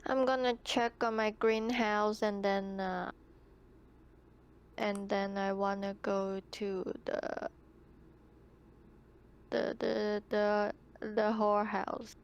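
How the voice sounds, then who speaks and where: A woman takes part in a tabletop roleplaying game with casual, hesitant talk. She speaks slowly in a smooth voice of middle pitch, with little melody. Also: flat delivery.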